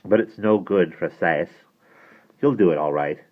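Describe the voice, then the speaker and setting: A proud teenage boy, acted by a man reading a storybook, speaks in a brisk, teasing, emphatic way. The sound is narrow and muffled, as if down a phone line. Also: deep voice.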